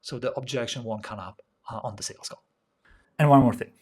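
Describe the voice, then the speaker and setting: A man's voice: mid-range voice, fairly quick. A young man from Slovakia with a Slovak accent, a native Slovak speaker, coaching in a casual teaching monologue and animatedly acting out little dialogues. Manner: animated, acts out little dialogues, frequent filler sounds. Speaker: online business coach.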